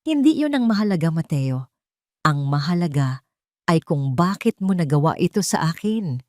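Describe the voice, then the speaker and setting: A woman's voice: deep voice, big pitch swings, moderate pace, darkish tone, no room sound, studio quality. A woman voicing a hurt, angry young wife who accuses and pleads in a Tagalog audio drama. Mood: angry, accusing. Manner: accusing, pleading rhetorical questions.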